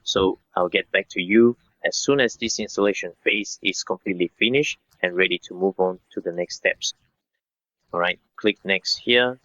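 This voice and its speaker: Bright, forceful low-voiced man giving a tutorial. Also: no room sound, highs cut off. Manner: instructional, addresses viewers as guys. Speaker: software tutorial presenter. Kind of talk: step-by-step tutorial narration.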